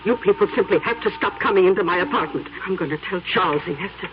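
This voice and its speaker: A woman's voice: fairly low pitch, fast talker, music in the background, lightly acted. An actress voicing a flustered elderly woman in a 1970s radio drama, indignant and stammering. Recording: dull vintage radio broadcast.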